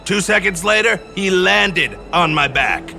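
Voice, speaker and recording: Pompous male game comic: higher-set, piercingly bright voice, flowery and exaggerated. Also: expressive, measured pace, gravelly, faint music behind, heavily acted. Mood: comic storytelling. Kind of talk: animated video game voice acting.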